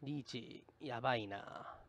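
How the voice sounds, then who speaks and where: Gravelly man thinking aloud. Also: medium pitch, takes it slowly, a bit bright, no room sound. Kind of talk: casual think-aloud commentary.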